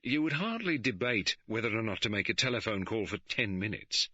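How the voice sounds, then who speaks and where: A man plays a stolid, respectable police superintendent in middle age who speaks with measured, dry insistence. His voice is bright and a touch raised. The recording is dry and dull. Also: lowish voice, big pitch swings, no room sound, voice pushed up, full character voice.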